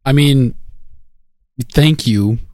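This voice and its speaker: A man's voice: medium pitch, extremely slow, darkish tone, no room sound, clean studio sound. A man co-hosting a comedy podcast, laughing through absurd made-up stories. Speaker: podcast co-host. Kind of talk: improvised comedy podcast banter.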